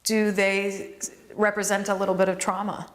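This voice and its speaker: A woman's voice: mid-range voice, flat delivery, moderate pace. A woman hosting an interview, asking questions and encouraging her guest with short replies. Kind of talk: casual conversational interview.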